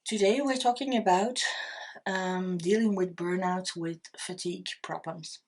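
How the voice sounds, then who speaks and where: A middle-aged woman with a Dutch accent, giving an unscripted tarot reading, talking slowly and hesitantly with many fillers and restarts. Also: lowish voice, darkish tone, a little hiss or hum. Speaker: tarot reader.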